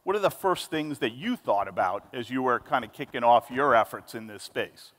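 A man's voice: medium pitch, animated, moderate pace, a bit bright. A man in his forties moderating a conference panel on a large stage, emphatic and upbeat, speaking into a handheld mic. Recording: long hall reverb.

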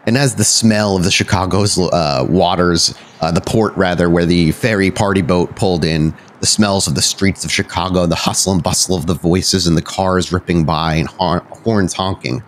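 A man hosting and narrating a tabletop roleplaying game, often halting and correcting himself as he goes. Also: deep voice, fairly even intonation, slight background noise. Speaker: podcast host and game storyteller.

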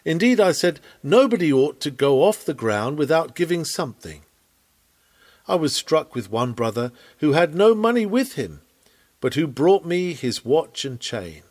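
Animated man reading a sermon aloud. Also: on the high side. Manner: earnest, oratorical, measured phrasing. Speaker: sermon reader. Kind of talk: sermon read aloud from a written text.

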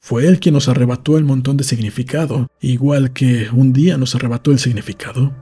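A man's voice: mid-range voice, steady tone, fairly quick, dark tone, slightly rough. A man reading a novel aloud as scripted audiobook narration for a podcast. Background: faint music.

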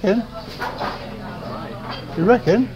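A man's voice: high voice, takes it slowly, dull audio, a crowd in the background. A middle-aged man with a Southern English accent, vlogging chattily and wryly as he reads a restaurant menu. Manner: chatty, wry, exclaims at prices. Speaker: travel vlogger. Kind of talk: casual vlog chat. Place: small dark restaurant, indoors. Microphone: camera across the table.

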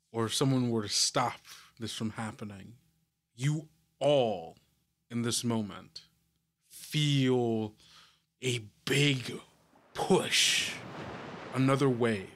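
A man runs a tabletop game, narrating with suspense. His mid-pitched voice moves slowly, each short phrase placed with care. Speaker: game master.

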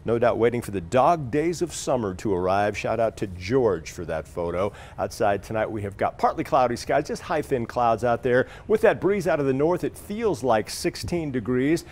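A middle-aged man delivers a TV weather forecast from a studio. His voice sits at a medium pitch, and his manner is friendly, folksy and conversational. He is picked up cleanly on a lapel mic. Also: expressive, normal speed, hardly any pauses, a little mellow. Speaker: TV meteorologist. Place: TV studio in front of a weather wall.

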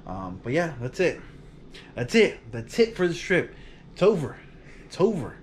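A man's voice: fairly high pitch, normal speed, slight background noise. Vlog host in his thirties, easygoing and rambly, filmed at home on a couch by a camera across the room. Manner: relaxed, rambling, laughs often. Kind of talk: casual chat to camera.

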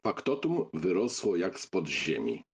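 A man's voice: sits low, wildly swooping pitch, unhurried, husky. A man narrating a novel as a scripted audiobook reading.

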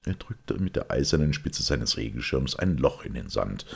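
A man's voice: very deep voice, sing-song, moderate pace, vocal fry, breathy edge. A man reading a detective story as an audiobook narrator, in a scripted literary style.

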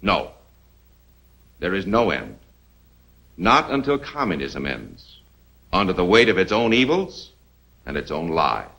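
An American man delivering emphatic, rhetorical narration for a 1950s military film, with dramatic pauses. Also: deep voice, sing-song, creaky, pushed and bright. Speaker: narrator and presenter.

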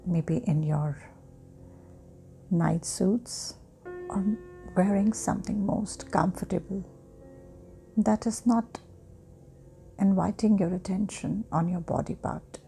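A woman guiding a sleep hypnosis meditation in a soft, breathy, often near-whispering voice with long pauses. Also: fairly low pitch. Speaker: guided meditation host. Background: meditation music.

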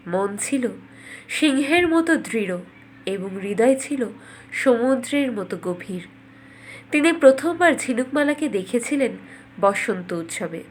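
A woman's voice: a little high, slight background noise. A woman reading scripted fairytale narration for a cartoon as a storyteller.

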